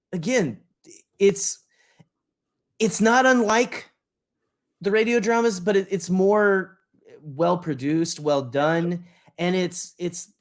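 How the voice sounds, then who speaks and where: A man with a somewhat high-pitched voice co-hosts a casual podcast. He talks like a fan in loose conversation, wandering through his points, hesitating and restarting phrases midway. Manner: rambling, halting, often rephrasing mid-sentence. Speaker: podcast co-host.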